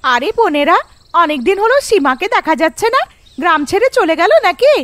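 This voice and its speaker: A woman's voice: extremely high pitch, sing-song, a little fast, a bit bright, no room sound, pitched above the performer's own voice, full character voice. A woman voicing gossipy, timid village housewives in a fairy-tale cartoon, chatty and exclaiming. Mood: curious.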